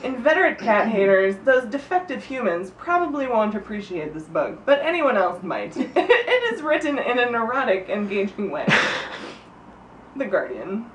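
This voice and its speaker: High-voiced giggly woman, echoey distant recording. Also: sing-song, unhurried. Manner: giggly, often breaking into laughter. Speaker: reader of a birthday story. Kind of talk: reading aloud informally to friends. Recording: roomy, distant mic with faint noise.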